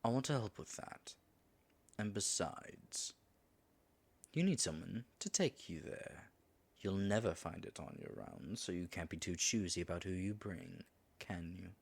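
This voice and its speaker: A man's voice: low voice, expressive, measured pace, creaky, breathy edge, exaggerated voice. A man acting in an audio roleplay as a nervous male dragon disguised as a human guide, stammering and muttering asides to himself. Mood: persuasive, composed.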